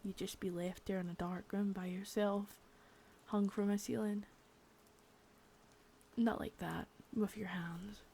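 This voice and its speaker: A woman voicing a sadistic, menacing female boss in an ASMR roleplay, whispering softly with spoken threats between. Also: mid-range voice, fairly even intonation, measured pace, creaky, pauses often, slight background noise. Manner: taunting and threatening.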